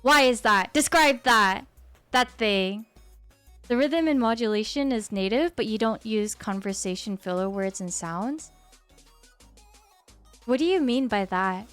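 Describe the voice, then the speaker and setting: Chatty young woman streamer, smooth voice. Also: a little high, expressive, measured pace, pauses often, a bit bright, soft background music. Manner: laid-back, chatty, reads chat aloud, says bro. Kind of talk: casual live stream chat.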